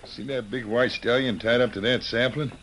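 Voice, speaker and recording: An American actor playing a rough, slangy, taunting outlaw henchman in an old-time radio western. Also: medium pitch, fairly even intonation, normal speed, lightly acted. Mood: wary, conspiratorial. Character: sneering male outlaw henchman, mocking and rough. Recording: noisy vintage radio recording with clicks.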